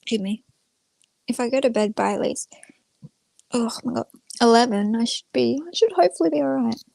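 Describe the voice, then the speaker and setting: A woman's voice: fairly high pitch, a little fast, vocal fry, mellow, dry recording. A young woman chatting casually to viewers on a livestream in a rambling, informal, self-effacing way. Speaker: live streamer.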